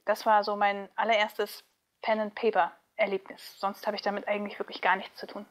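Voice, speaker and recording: A woman speaking casually as an interview guest, chatty and animated, like a voice actress talking about her work. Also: medium pitch, brisk.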